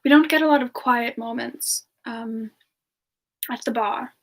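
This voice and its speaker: A woman doing a semi-ASMR live question-and-answer chat in character, musing aloud in a halting, giggly manner. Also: on the high side. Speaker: livestream host in character, answering viewer questions.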